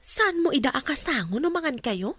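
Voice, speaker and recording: A woman's voice: sits high, sing-song, a little fast, fairly bright tone, dry recording. A woman with an Ilocano accent playing a friendly, chatty acquaintance in a radio drama.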